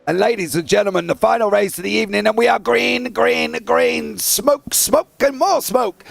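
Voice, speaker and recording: A hoarse English man commentating a sim race with a raspy, husky voice, getting loud and excitable as the action starts. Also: sits high, normal speed. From England. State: hoarse from broadcasting.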